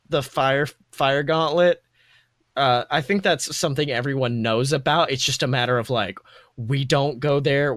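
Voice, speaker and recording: A man's voice: mid-range voice, a bit bright, dry recording, studio quality. A man improvising narration as game master in a tabletop roleplaying game, in an informal, meandering, slangy storytelling way.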